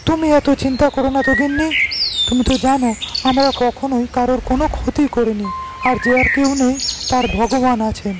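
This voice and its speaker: A man's voice: extremely high pitch, steady tone, fairly quick, heavy background noise. A man voicing a poor, patient village husband who speaks reassuringly, in a cartoon moral story. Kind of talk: animated moral story dialogue.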